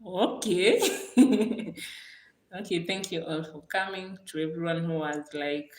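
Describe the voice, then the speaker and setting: A woman from Kenya with a Kenyan accent, chatting casually on a livestream, warm and gracious toward her viewers and repeating her thanks again and again. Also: fairly low pitch, slow pace, reverberant, rough recording. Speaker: livestream host.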